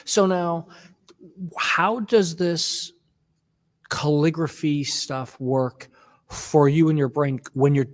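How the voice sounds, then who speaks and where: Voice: mid-range voice, steady tone, takes it slowly, pauses often. A podcast host asks long, meandering questions and encourages the guest in a casual interview.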